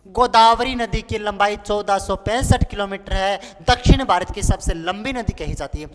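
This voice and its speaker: A man's voice: high voice, moderate pace, a crowd in the background. A man of twenty-one teaching an exam-prep lesson in a playful, colloquial way, repeating key points as a drill. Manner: repetitive, playful, colloquial, acts out pupils' questions. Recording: noisy, echoing hall-like room with clicks.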